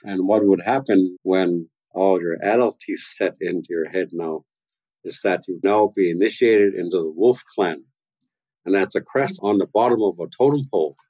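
A man in his sixties from Vancouver Island's west coast, an elder and carver telling stories slowly and gently from his home workshop. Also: deep voice, no room sound, highs cut off. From west coast of Vancouver Island, Canada. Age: 60s. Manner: slow, gentle, unhurried telling with soft chuckles. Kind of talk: reflective storytelling.

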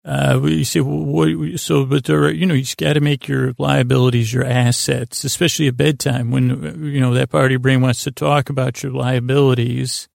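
A man with a creaky voice, hosting a podcast as a rambling, halting bedtime monologue that trails off and restarts. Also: medium pitch, level tone, a little mellow, dry recording, clean studio sound. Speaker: podcast host.

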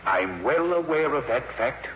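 A man's voice: mid-range voice, lively intonation, a little slow, lightly acted. A man playing a pompous, irritable, well-off father in a 1950s radio drama, with an indignant manner. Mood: irritated. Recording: muffled, noisy old 1950s radio recording.

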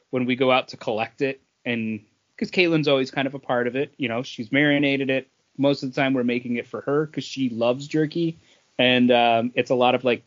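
Mid-pitched man on slightly dull audio. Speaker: podcast co-host. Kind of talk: casual conversational explaining.

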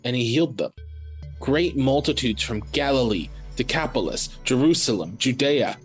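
A man giving a scripted, dramatic scripture narration with measured, solemn, deliberate phrasing. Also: medium pitch, animated. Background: faint music.

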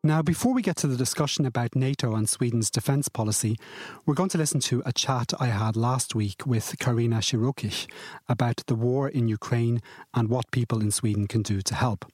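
A man hosting a news podcast, reading a measured scripted intro and then asking interview questions. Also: mid-range voice, darkish tone, no echo. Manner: measured, clear presenter delivery. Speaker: podcast host.

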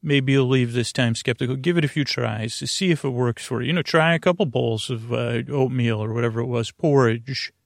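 Male sleep podcast host. Gravelly creak, dry sound, soothing and digressive, halting bedtime rambling. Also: mid-range voice, no echo. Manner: soothing, lulling delivery; digressive, halting, trailing off mid-thought. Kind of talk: rambling, meandering bedtime monologue.

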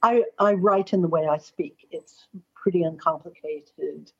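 A mature American woman with a husky voice that sits a little low. She talks as an interview guest, plain-spoken and anecdotal. The recording is a bit muffled and lo-fi. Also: unhurried. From Los Angeles, United States. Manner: forthright, chatty, anecdotal. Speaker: astrologer and columnist, interview guest. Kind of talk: conversational interview storytelling. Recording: slightly dull, lo-fi audio.

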